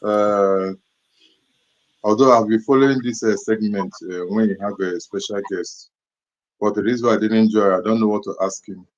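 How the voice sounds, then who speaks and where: Rambling caller on muffled audio. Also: male voice, lowish voice, steady tone, normal speed. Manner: rambling, repetitive, emphatic. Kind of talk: casual discussion.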